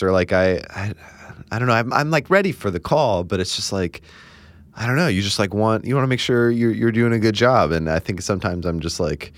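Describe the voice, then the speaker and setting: A man's voice: lowish voice, no echo. A man hosting a casual interview, asking long rambling questions full of "like" and "you know". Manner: rambling, chatty questions with many "like"s.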